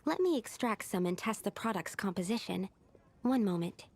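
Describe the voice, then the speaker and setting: A woman's voice: mid-range voice, lively intonation, normal speed, darkish tone, slight background noise, close to an everyday voice. A woman voicing a studious, earnest young female alchemist in a video game, explaining things precisely and methodically. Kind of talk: scripted video game voice acting. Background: game music.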